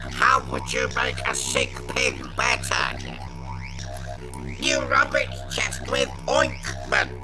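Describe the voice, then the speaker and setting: A man voicing a childish, boastful, excitable puppet creature from children's TV, stammering and whining through electronic modulation. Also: very high voice, slow, vocal fry, over loud music, heavily acted. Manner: stammering, whiny, blustering.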